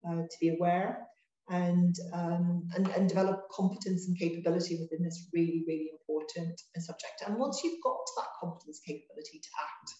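A woman speaking as an interview guest, her voice low-pitched and mellow. She talks in a measured way, restarting phrases as she goes. The audio is echoey and a bit dull, like a lo-fi remote recording. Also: fairly even intonation. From Ireland. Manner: measured, with frequent restarts and fillers. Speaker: NHS trust managing director, guest. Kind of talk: interview conversation.

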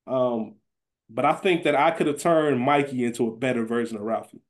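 A man's voice: medium pitch, a little fast. A man co-hosting a podcast, chatting casually in colloquial, slangy language. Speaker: podcast co-host. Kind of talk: casual chat.

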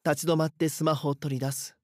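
An actor playing a frightened schoolboy who narrates a horror audio drama in an earnest, dramatic way. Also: male voice, fairly high pitch, level tone, a little fast, a little mellow, no echo, studio quality, lightly acted. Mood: tense, hushed. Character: frightened schoolboy haunted by his doppelganger. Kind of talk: first-person scripted horror narration.

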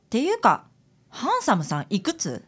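A woman's voice: a little high, huge pitch swings, moderate pace, husky, heavily acted. A woman playing an outspoken, chatty detective's assistant in a radio drama, with a breezy Kansai accent. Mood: curious gossip. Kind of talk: Japanese radio drama acting.

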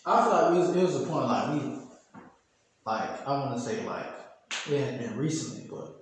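A man's voice: mid-range voice, lively intonation, normal speed. A man of twenty speaking casually as an interview guest, hesitant and full of fillers. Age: 20s. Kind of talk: casual conversation. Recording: echoey hall, faint noise.

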